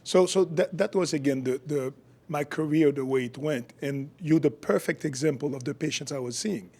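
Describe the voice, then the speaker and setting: Husky, higher-voiced middle-aged man. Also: normal speed, dark tone. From Haiti. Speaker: guest, functional medicine physician. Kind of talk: explanatory podcast interview.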